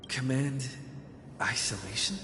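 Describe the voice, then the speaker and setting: A man's voice: medium pitch, takes it slowly, bright, forceful tone. A man voicing an earnest young video game hero who sounds hesitant, as if thinking aloud. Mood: puzzled. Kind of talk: dramatic video game voice acting. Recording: echoey reverb, music underneath.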